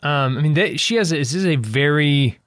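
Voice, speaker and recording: A man hosting a casual interview, feeling his way haltingly into his questions. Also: on the high side, animated, fairly bright tone, no echo, studio quality. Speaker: interviewer, show creator and director.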